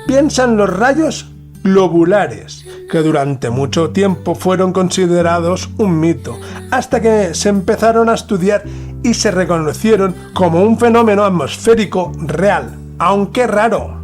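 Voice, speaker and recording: High-pitched Spanish man narrating over music. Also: lively intonation, a little slow, darkish tone. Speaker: podcast host narrator. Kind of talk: scripted mystery documentary narration.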